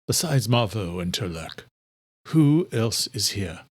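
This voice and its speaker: A man's voice: lowish voice, sing-song, slow, no room sound, full character voice. A man playing a stern, solemn vampire monarch in an audiobook, with a curt, commanding manner. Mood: grim, curt.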